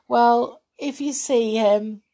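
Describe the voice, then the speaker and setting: A woman's voice: a little high, steady tone, slow, warm tone, pitched above the performer's own voice. Woman doing a wailing, tearful schoolboy. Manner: wailing, miserable. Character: tearful timid boy who lost his toad.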